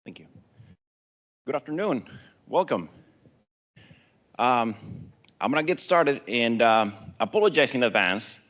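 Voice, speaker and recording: Argentine-accented man joking through a pitch. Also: expressive, measured pace, long pauses, fairly bright tone, muffled recording. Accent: Argentine Spanish. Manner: self-deprecating, joking with the audience. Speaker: startup founder pitching. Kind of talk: live pitch on stage.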